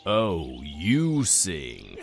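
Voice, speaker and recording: A man playing a brusque, excitable gryphon in an audiobook drama, with swooping emphasis. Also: low voice, drawn-out words, creaky, soft background music, full character voice. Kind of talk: scripted audiobook character acting.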